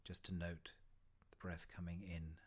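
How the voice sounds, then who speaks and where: A man leading a guided body scan meditation in a gentle, unhurried way, with long pauses between short phrases and soft, breathy phrase endings. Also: low-pitched, little pitch movement.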